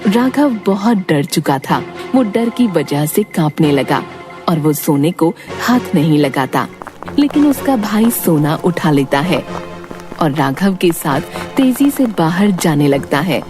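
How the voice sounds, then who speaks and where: A woman's voice: fairly low pitch, sing-song, takes it slowly. A woman narrator reading a scripted children's cartoon story in a dramatic style. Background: music.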